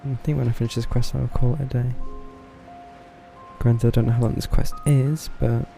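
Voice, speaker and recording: A man's voice: mid-range voice, steady tone, moderate pace, creaky, warm tone. A man streaming a game with casual, understated, dry commentary. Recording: game music behind voice.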